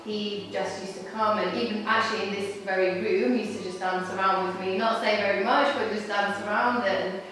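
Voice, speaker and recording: A woman's voice: medium pitch, steady tone, normal speed, few pauses, fairly bright tone. A woman who owns a dance school, chatting in an interview in a room of her school. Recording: echoey hall, mic far off.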